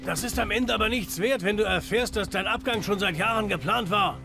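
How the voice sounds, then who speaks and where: An actor playing a bitter, hot-tempered male brawler in a video game, gruff and aggressive. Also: sits high, fairly quick. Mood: angry. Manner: gruff, aggressive, foul-mouthed. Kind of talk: dramatic video game acting. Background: music.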